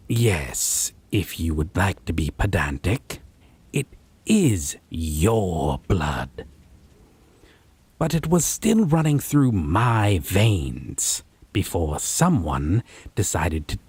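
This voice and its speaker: Man playing a husky, slow-speaking, menacing vampire. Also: deep voice, darkish tone, full character voice. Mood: sardonic, accusing. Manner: pedantic, sardonic, theatrical, lingering phrasing. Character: possessive male vampire, wounded, menacing, mockingly refined. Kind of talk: dramatic roleplay audio monologue.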